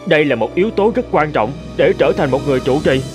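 Man playing a quick, earnest young monk. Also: fairly high pitch, animated, close to an everyday voice. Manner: deferential, earnest. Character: earnest young monk, respectful, eager disciple. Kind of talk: cartoon folk-tale dubbing. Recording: music under the voice.

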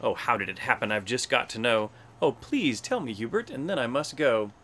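A man's voice: mid-range voice, sing-song, moderate pace, sharp tone, slight background noise. A man voicing a nosy, gossipy older female hornbill in an exclamatory, prying manner.

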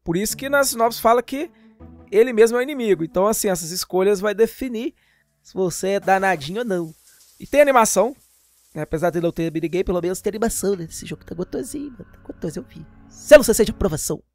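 A man's voice: high voice, lively intonation, quick pace, a little mellow, no echo. Brazilian male gaming host, chatty and informal, jokey slang, voice-over with music underneath. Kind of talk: casual, slangy game update voice-over.

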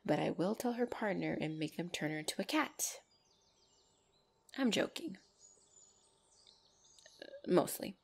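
Woman playing a tender, low-voiced orc girlfriend. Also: animated, unhurried, creaky, long pauses, slight background noise. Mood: relieved, happy, teasing. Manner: tender, hesitant, intimate. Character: loving, protective, bashful female orc girlfriend. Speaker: voice actor in audio roleplay. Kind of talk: ASMR girlfriend roleplay, soft intimate acting.

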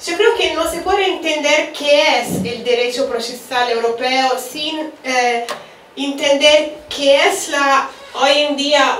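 A woman's voice: high voice, fairly bright tone, slight background noise. A middle-aged woman with an Italian accent, a native Italian speaker, giving an explanatory academic lecture as a professor. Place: wood-panelled university seminar room. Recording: very echoey hall, distant.

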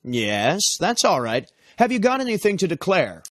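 A man with a husky voice playing airport parts in a scripted lesson dialogue. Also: high voice, wildly swooping pitch, normal speed, a bit bright, no room sound, studio quality, full character voice. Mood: businesslike. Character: brisk official or traveller at an airport.

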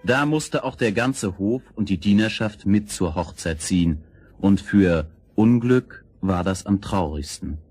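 A man narrating a children's fairy tale as storyteller, with expressive, scripted storybook delivery. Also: sits low, unhurried, slightly rough, dry recording, faint music behind, full character voice.

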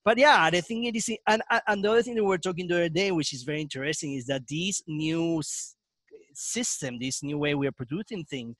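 A man's voice: a little high, a little fast, a bit bright, no echo. A man, hosting a casual video-call interview, rambling and restarting his questions. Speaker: podcast host. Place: home basement room with brick wall. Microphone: headset mic.

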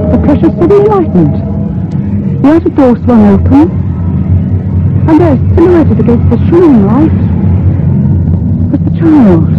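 A man playing a troubled, sensitive narrator in a radio ghost story, speaking in measured, earnest, literary phrases. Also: very high-pitched. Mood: urgent, frightened. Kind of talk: dramatic radio horror narration. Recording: tinny, narrow old radio recording with hum.

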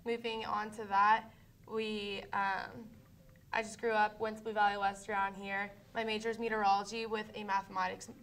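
A young woman, a student meteorologist, giving a weather forecast and chatting in a campus TV studio, eager and a little halting. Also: medium pitch, flat delivery, fairly bright tone. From United States. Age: young adult.

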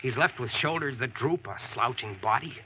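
A man's voice: mid-range voice, lively intonation, normal speed, pushed and bright, slight background noise, poor audio. An actor playing a practical modern American man among knights, narrating and speaking plainly in 1940s radio style. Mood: firm, instructive. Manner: plain-spoken, instructive. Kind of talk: 1940s radio acting and first-person narration. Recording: vintage radio drama recording with music.

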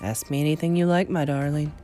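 A tender grandmother in her eighties from a children's storybook, acted out by a woman. She speaks low, in a measured and gentle way, frank about hard things. Soft music sits faintly behind her. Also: steady tone, lightly acted. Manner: measured, gentle, matter-of-fact.

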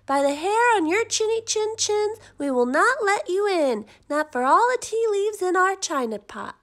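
A woman doing squeaky defiant little wolves. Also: big pitch swings, normal speed, a bit bright, heavily acted. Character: three little wolves, small, frightened but defiant.

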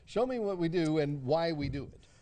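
A man's voice: a little high, animated, moderate pace, mellow. An older man hosting a TV cooking segment, chatting casually with a guest in a folksy, jocular way. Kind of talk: casual on-air interview. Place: TV studio kitchen set.

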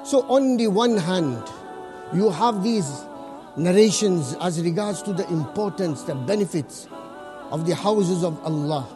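A male imam delivers a Friday sermon in a high, light voice. He preaches earnestly, reciting scripture quickly and repeating phrases to drive a point home. Soft music sits faintly behind him. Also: expressive.

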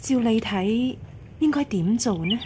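A woman's voice: a little high, expressive, measured pace, noisy background. A woman acting in a radio drama as a hesitant, deliberating widowed mistress of a household.

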